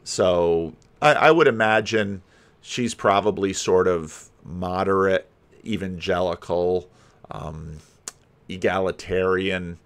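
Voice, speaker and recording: An older man giving casual, rambling commentary as a host, halting and thinking aloud. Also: deep voice. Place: home room lined with books. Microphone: desk microphone, close, with headphones.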